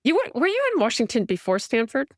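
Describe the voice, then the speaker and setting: A woman's voice: high-pitched, wildly swooping pitch, fast, no room sound. Woman hosting a podcast interview, dry sound. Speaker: podcast host. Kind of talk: casual interview chat.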